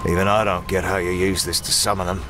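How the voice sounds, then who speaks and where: An actor playing a grim, cynical swordsman with a gruff, curt, scornful manner in a comic dub. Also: sits low, level tone, fast talker, background noise, natural voice. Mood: grim explaining. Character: grim, cynical, battle-hardened male swordsman, harsh and blunt. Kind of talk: dramatic comic dub acting.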